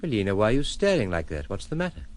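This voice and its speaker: Wry gentleman recluse, animated in pitch. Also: fast, no echo. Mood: reflective. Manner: eloquent, formal, ironic phrasing. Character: wry, detached gentleman recluse, playfully philosophical and world-weary. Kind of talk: audio drama acting.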